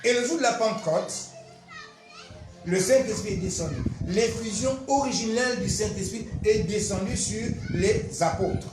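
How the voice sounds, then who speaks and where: A man, a pastor giving an animated, emphatic testimony and teaching with repetitive storytelling. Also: high-pitched, moderate pace. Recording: roomy echo, faint music behind.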